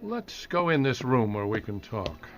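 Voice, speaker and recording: An actor playing a brisk, businesslike male doctor in a radio detective drama. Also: medium pitch, very animated. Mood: brisk, guarded.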